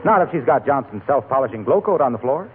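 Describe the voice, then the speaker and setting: A band-limited 1940s radio voice with faint noise. An eager, polished salesman announcer acted by a man delivers punchy lines with lively swings in pitch. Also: fast, narrow, tinny audio, close to an everyday voice. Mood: eager selling. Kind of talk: 1940s radio comedy acting and advertising.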